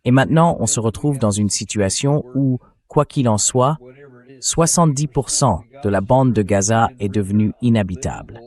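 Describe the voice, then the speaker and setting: Low-voiced man hosting a political interview. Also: moderate pace, a little mellow. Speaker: interview host. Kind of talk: political interview, dubbed translation read by synthetic voice.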